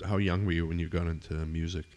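Middle-aged male podcast host, deep voice, easygoing and rambling. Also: fast talker. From eastern United States. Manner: relaxed, filler-heavy, trails off quietly. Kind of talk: casual interview chat.

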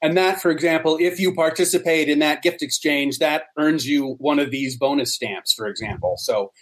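Higher-voiced man explaining casually. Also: normal speed, a bit bright. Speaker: interview guest, society co-founder. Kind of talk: casual explanatory interview talk.

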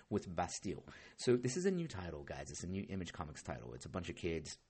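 A hoarse man with a low, husky voice rambles through a casual solo podcast. He swears freely and often stops to restart his sentences. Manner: rambling and heavily profane, with frequent restarts. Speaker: podcast host.

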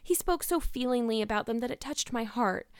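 Woman putting on a high, melodramatic young girl. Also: expressive, fairly quick, clear, resonant tone, no room sound, studio quality, close to an everyday voice. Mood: earnest, confiding. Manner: melodramatic, pleading, effusive. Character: dramatic, despairing young girl, earnest and wordy.

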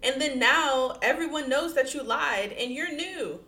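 A woman's voice: sits high, expressive, measured pace, bright, forceful tone, roomy sound. A woman co-hosting a podcast, giving blunt, sassy, exasperated commentary in casual chat. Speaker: podcast co-host. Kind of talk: casual chat reacting to a post.

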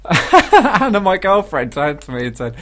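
A British man co-hosting a radio show, chatting casually and telling self-deprecating comic stories in an animated way. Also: high-pitched, a little slow. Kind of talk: casual comic chat, anecdote.

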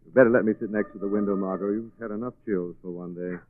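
An actor playing a suave, protective gentleman sleuth with brisk assurance in a 1940s radio drama. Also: lowish voice, steady tone, no room sound, close to an everyday voice. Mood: assured, solicitous. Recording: phone-like, dry old radio recording.

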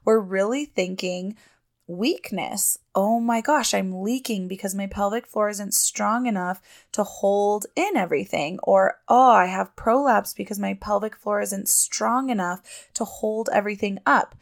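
A woman's voice: mid-range voice, measured pace, vocal fry. Woman in her 30s, podcast host, chatty and emphatic, slightly congested. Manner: chatty, emphatic, laughs often. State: sniffly and congested. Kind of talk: casual podcast talk.

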